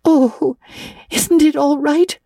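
Weepy little schoolgirl from a storybook, super high, stammery, so dramatic. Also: fairly even intonation, slow pace, vocal fry, warm tone, no room sound, studio quality, heavily acted. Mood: anguished, defensive. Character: melodramatic, anguished young schoolgirl.

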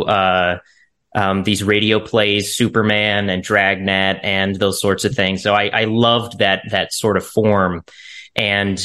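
A man's voice: fairly low pitch, fairly bright tone. A man, an actor being interviewed, explaining things in a casual, straightforward way.

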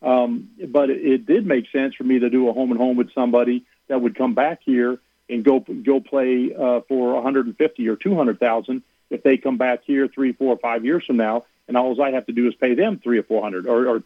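A man's voice: mid-range voice, steady tone, a little fast, few pauses, no echo. A man, an athletic director, talking earnestly on a radio sports show, rambling with many fillers and restarts. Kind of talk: radio interview on a phone line. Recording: tinny phone line, dry.

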